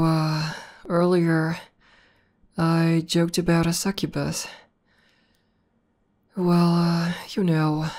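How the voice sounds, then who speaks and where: Low, mellow tomboy demoness played by a woman. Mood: tender, playful. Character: buff tomboy demoness girlfriend, affectionate and teasing. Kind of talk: ASMR roleplay audio spoken to the listener.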